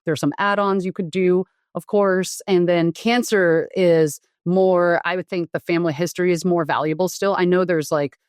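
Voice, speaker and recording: Frank, fairly low-voiced woman doctor. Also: fairly even intonation, normal speed, few pauses, darkish tone, clean tone, no echo, clean studio sound. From Taiwan. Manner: frank, explanatory, with frequent fillers and restarts. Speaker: doctor and guest. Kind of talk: conversational interview.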